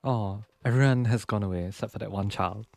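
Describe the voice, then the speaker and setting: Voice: mid-range voice, a little fast, gravelly, a little mellow, no room sound. A streamer giving casual commentary over a video game, with game music behind.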